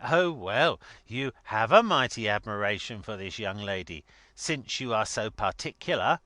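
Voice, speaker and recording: A man's voice: lowish voice, animated, a little slow, bright, forceful tone, dry recording. A man voicing a blustering, scornful older rich man in a dramatic story reading, emphatic and mocking. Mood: sneering.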